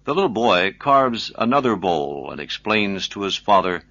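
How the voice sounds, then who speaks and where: A man presenting scripted educational radio narration in a measured, explanatory manner. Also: lowish voice, very animated, fairly bright tone, highs cut off. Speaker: programme presenter.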